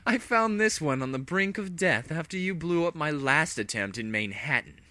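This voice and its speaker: A man's voice: a little high, expressive, normal speed, pushed and bright, no echo, pitched above the performer's own voice. Man as an insane, gloating pony villainess, theatrically lilting. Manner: cooing, theatrical menace.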